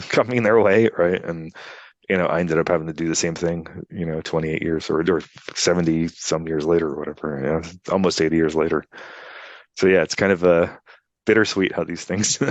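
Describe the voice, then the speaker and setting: A man's voice: deep voice, no room sound, lo-fi sound. An American man in his fifties, telling his life story in a casual interview with lots of fillers and tag questions. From United States. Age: 50s. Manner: rambling, filler-heavy, frequent "you know" and "right?". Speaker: interview guest, attorney. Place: Poland, remote interview. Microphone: video call.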